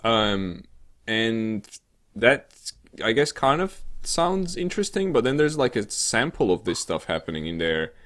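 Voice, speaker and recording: A man co-hosting a gaming show in casual, unscripted chat, rambling and halting, with a joking way of talking. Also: medium pitch, sing-song, a bit bright. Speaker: co-host of a weekly gaming news show.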